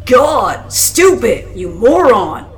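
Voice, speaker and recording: A woman's voice: on the high side, sing-song, very slow, faint music behind, voice pushed up, exaggerated voice. A woman voicing a vicious, raging male voice in someone's head, bellowing hateful accusations. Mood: angry, accusing.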